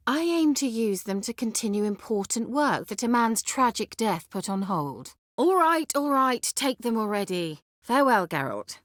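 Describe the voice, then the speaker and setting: A woman's voice: mid-range voice, no echo, studio quality. A woman playing a haughty, sly sorceress in a fantasy video game, articulate, wry and teasing. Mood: wry, defensive.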